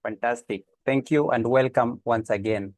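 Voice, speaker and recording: A man's voice: medium pitch, steady tone, moderate pace, a little mellow, no echo. Man hosting a video call. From Kenya. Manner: friendly, hesitant with many uh fillers. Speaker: host of online office hours. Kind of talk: casual online meeting hosting. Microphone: computer mic on a video call.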